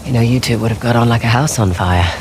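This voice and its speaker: A woman's voice: rumbling low, fast, background noise. A woman playing a cocky, tough, wisecracking treasure hunter in a video game, wry and casual. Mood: wry, easygoing. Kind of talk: cinematic video game acting.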